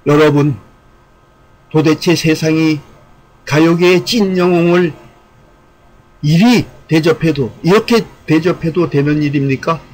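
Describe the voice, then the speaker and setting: A man's voice: fairly high pitch, unhurried, long pauses, a little mellow, a little hiss or hum, poor audio. A man reading scripted commentary aloud in a formal, earnest, exhortatory way, as the host of an online channel.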